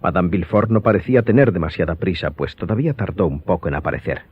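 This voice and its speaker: A man giving scripted, dramatic audiobook narration in a husky voice. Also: low voice, animated, quick pace. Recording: dull lo-fi sound.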